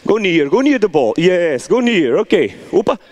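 A man's voice: high voice, moderate pace. A middle-aged man coaching players through a soccer drill in a large indoor hall, checking in with "okay?" as he goes. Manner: animated instructions with frequent "okay?" check-ins. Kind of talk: on-field coaching demonstration.

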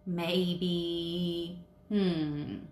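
A woman in her thirties hosting a children's devotional story time, speaking in simple phrases with questions and pauses for young listeners. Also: on the low side, steady tone, a little mellow. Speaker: children's story time host, Sunday school teacher. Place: indoors in a home room with brick wall and wood cabinets. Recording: roomy echo, faint background noise.

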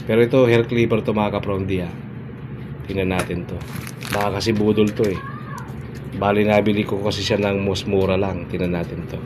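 A middle-aged man with a Filipino accent talks in a fairly low voice. He chats casually as a vlogger doing an unboxing, often repeating short phrases. There is clear ambient noise in the recording. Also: steady tone, fairly quick. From Philippines. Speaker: barber vlogger. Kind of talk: casual unboxing vlog chat.

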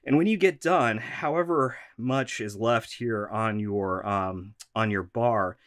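A man's voice: fairly low pitch, takes it slowly, fairly bright tone, no echo, clean studio sound. Congested man explaining vacuum care. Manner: practical, instructive, conversational. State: congested from allergies. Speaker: vacuum shop host. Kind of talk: product maintenance tutorial. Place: shop workroom full of vacuums.

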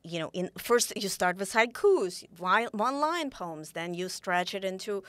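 A middle-aged woman from Ukraine, speaking as an author guest in an interview, animated and full of restarts. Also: medium pitch, a little slow, dry recording. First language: Russian. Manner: animated, gesturing, many restarts. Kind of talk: interview conversation. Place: small podcast studio. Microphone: boom mic on desk.

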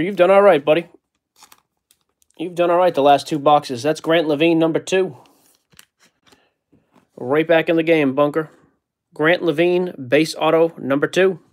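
Man, card break host, joking chatter, camera mic at a table indoors. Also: fairly high pitch. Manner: joking, rattles off player names. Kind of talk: casual card-opening chat.

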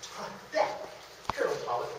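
A man giving an illustrated history lecture as the speaker at a live talk. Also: fairly quick. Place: meeting room in a historic house, before an audience. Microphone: distant camera in the audience. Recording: very echoey room, far off, faint noise.

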